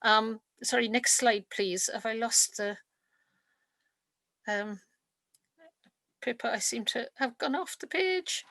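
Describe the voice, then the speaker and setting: A woman's voice: on the high side, expressive, takes it slowly, long pauses, fairly bright tone, dry recording. Woman lecturing on a video call. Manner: thoughtful, with frequent ums and restarts. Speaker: literature lecturer. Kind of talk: online lecture with slides. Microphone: computer mic on a video call.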